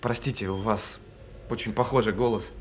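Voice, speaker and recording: An actor in an audio drama playing a guilt-ridden bomb technician on the phone, speaking haltingly and earnestly. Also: male voice, lowish voice, steady tone, slight background noise, natural voice. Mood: anxious, pleading. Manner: halting, earnest, apologetic, with stammered restarts. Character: guilt-ridden male bomb defuser saying goodbye by phone.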